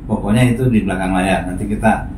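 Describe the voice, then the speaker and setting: A man's voice: sits low, steady tone, fast. A man in his forties hosting an interview, chiming in with short acknowledgements and speaking to the audience. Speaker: host. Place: small office room, indoors. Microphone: camera across the room. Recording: echoey room, far from mic, noisy.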